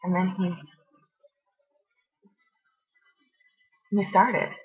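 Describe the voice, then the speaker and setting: A woman acting a hurt, accusing young woman confronting her former best friend in a halting, emotional dramatic monologue. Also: lowish voice, fairly even intonation, dull audio, natural voice. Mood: tense, confessional. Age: young adult.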